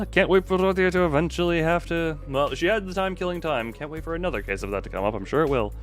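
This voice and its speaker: A man's voice: on the high side, big pitch swings, quick pace. Guy streaming a game, chatting casually, jokey and swears a bit, music playing behind him. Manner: irreverent joking, sweary. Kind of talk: casual live-stream commentary while reading game dialogue.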